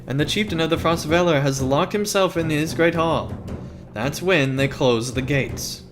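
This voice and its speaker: A man's voice: a little high, moderate pace, over music, pitched above the performer's own voice, close to an everyday voice. A man reading aloud the lines of a determined female warrior leader in a game, urgent and stumbling over names.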